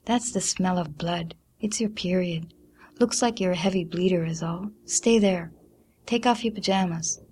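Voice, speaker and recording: Audiobook figure: a coarse, snapping mother of a big brood, loud and curt, read by a woman. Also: on the low side, moderate pace, a little hiss or hum, close to an everyday voice. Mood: brusque. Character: blunt harsh mother of twelve, middle-aged.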